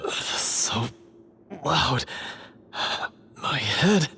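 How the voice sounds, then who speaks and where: A man voicing a groggy, remorseful hunter in a halting, expressive game style. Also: mid-range voice, slight background noise, heavily acted. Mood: groggy, pained. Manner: groaning, halting, contrite. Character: Florian, groggy, remorseful, beleaguered male hunter. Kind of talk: animated game voice acting.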